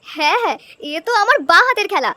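A woman voicing an eager, obliging young village wife in a fairy tale cartoon, brisk and confident. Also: very high voice, sing-song, sharp tone, no room sound, full character voice.

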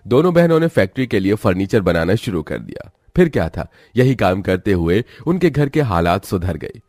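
A man's voice: on the low side, very animated, moderate pace, a little mellow, no echo, clean studio sound. A man narrating a scripted moral story as a storyteller.

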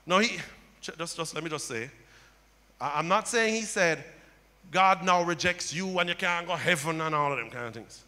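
A middle-aged male pastor preaching a sermon on a church stage into a handheld mic, building to loud impassioned cries. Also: a little high, moderate pace. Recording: long hall reverb.

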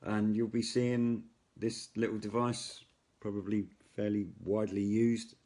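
A man's voice: on the low side, unhurried. A middle-aged British man giving a casual, unscripted product demonstration in a matter-of-fact, slightly hesitant way. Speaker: product reviewer, radio hobbyist. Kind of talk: casual hands-on product review. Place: indoors at a wooden table by a window. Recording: faint steady noise, handling clicks.

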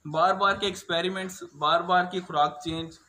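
High-pitched young farmer, echoey recording. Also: male voice, fairly even intonation, normal speed, sharp tone. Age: young adult. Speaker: cattle farmer being interviewed. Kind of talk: interview answers, explaining. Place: open-sided cattle shed on a farm. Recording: echoey, noisy open cattle shed.

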